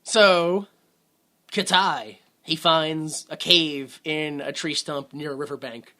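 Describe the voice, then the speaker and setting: Bright, high-voiced man recapping sarcastically. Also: steady tone, takes it slowly. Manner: sarcastic, laughs often, acts out quotes. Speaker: podcast co-host. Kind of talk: casual movie recap chat.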